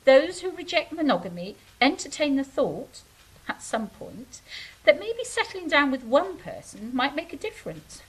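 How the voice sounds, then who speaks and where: A woman playing an idealistic, earnest angel in an audio drama, speaking in a measured, philosophical way. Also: high-pitched, big pitch swings, poor audio. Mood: musing. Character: idealistic, earnest female angel, wistful and stately. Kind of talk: stage-style audio drama acting.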